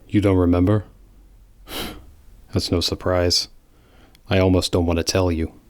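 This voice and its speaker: A man's voice: low voice, flat delivery, unhurried, darkish tone, dry recording, clean studio sound. A man playing a brooding, protective cartoon hedgehog antihero in an audio drama, terse and grave. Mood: guarded, concerned. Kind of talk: fan-made audio drama acting.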